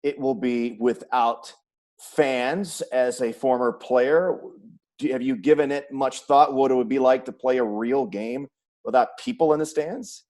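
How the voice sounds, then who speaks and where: A man's voice: medium pitch, measured pace, darkish tone. An American man hosting a sports interview series, putting questions in a conversational, announcer-like way. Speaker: web series host, sports play-by-play announcer.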